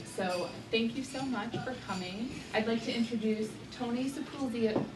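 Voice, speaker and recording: A woman's voice: fairly high pitch, normal speed. A woman hosting an event, introducing the guest speaker in a friendly way with many fillers. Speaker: event host. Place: event room with audience. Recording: echoey room, distant mic, audible noise.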